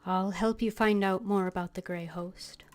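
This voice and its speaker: A woman game streamer giving casual, unhurried live commentary and reading bits of dialogue aloud. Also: mid-range voice, steady tone. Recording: music behind the voice.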